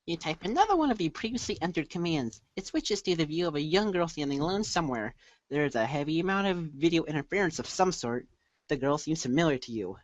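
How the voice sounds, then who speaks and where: A woman reading scripted second-person narration in a measured, declamatory way. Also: deep voice, expressive, no room sound, highs cut off. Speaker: reader in a reader's theater show.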